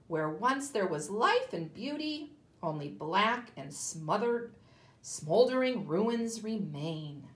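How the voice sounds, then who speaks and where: A woman voicing a stern, worried toucan with a high, squawking voice. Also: very animated, slow pace. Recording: echoey room.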